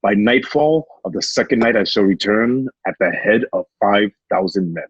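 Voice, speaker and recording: An actor playing a battle-hardened, resolute Roman general turned gladiator, in a radio-drama read. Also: male voice, lowish voice, moderate pace, husky, no echo, muffled recording. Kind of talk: online table-read radio drama acting.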